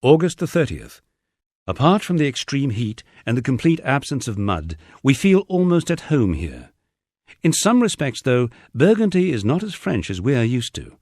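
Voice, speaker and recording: A man's voice: mid-range voice, sing-song, normal speed, darkish tone, dry recording, clean studio sound. A middle-aged man with an English accent reading a first-person memoir as a scripted narration. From England.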